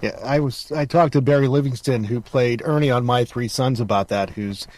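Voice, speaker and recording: A man's voice: medium pitch, moderate pace, darkish tone. A man hosting a casual interview, circling back and rephrasing as he goes. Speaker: interview show host.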